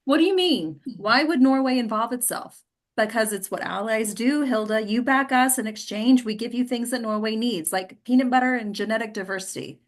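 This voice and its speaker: A woman's voice: on the high side, moderate pace, lightly acted. A woman playing a harried, blunt and sarcastic government official in a stage comedy read.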